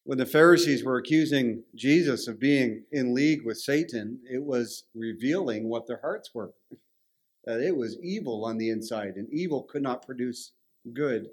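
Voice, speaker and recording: A man's voice: mid-range voice, moderate pace. A man with a Canadian accent preaching a sermon in a conversational, storytelling way. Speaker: pastor.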